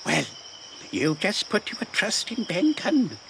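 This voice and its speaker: A man's voice: high-pitched, huge pitch swings, slow pace, gravelly, a bit bright, slight background noise, full character voice. A man playing a ragged, half-crazed old marooned sailor in an audio drama, rambling and wheedling in rustic pirate dialect. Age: older.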